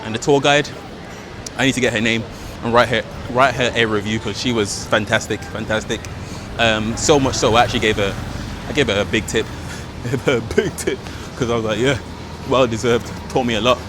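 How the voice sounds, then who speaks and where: A man's voice: medium pitch, fairly quick. Chatty man vlogging outdoors. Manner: excitable, slangy, lots of fillers. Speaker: travel vlogger. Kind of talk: casual vlog to camera. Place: outdoors on city streets and in a park. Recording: outdoor city noise behind.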